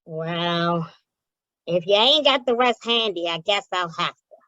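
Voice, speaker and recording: A woman's voice: fairly low pitch, animated, a little slow, pushed and bright, dry recording, muffled recording. A woman playing a slangy, wisecracking, streetwise and wary underworld informant in old-time radio crime comedy style. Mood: businesslike, wry. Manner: slangy, wisecracking gangland talk.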